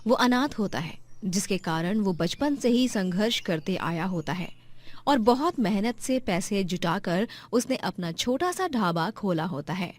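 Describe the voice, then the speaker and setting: A woman narrator reading a scripted moral story. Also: medium pitch.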